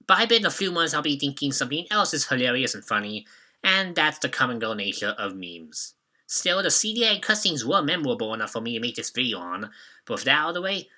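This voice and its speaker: A man's voice: on the high side, bright, forceful tone. A young man hosting a scripted retrospective, giving commentary as a video reviewer.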